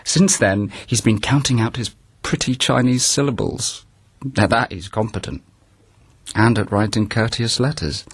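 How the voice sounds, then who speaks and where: An actor playing a mocking, sardonic courtier scholar in a radio drama, with a husky voice. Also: male voice, medium pitch, a little slow, dry recording. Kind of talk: BBC radio drama acting.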